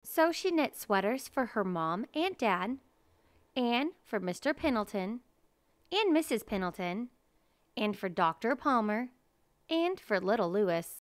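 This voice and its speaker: Slow, clear-voiced woman storyteller. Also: fairly high pitch, very animated, dry recording. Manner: measured, deliberate storytelling. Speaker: storybook reader. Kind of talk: children's picture book read-aloud.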